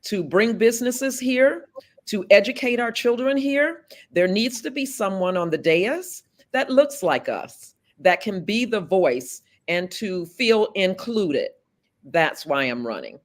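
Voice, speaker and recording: A woman's voice: medium pitch, animated, takes it slowly. Earnest woman candidate in her fifties. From South Florida, USA. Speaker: political candidate. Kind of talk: interview answers.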